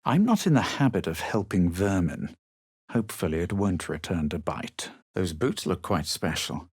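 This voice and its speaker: An actor playing a self-assured, superior young male adventurer in a video game, speaking in a measured, aloof, dramatic way. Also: sits low, expressive, a little mellow, husky, no echo, studio quality. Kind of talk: video game character lines and storytelling narration.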